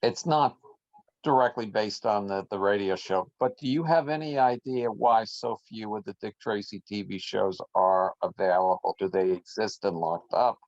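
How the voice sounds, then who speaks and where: A man's voice: fairly low pitch, moderate pace, no room sound. A man casually asking questions as a club member at an online meeting, over a video call. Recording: dull lo-fi video call audio.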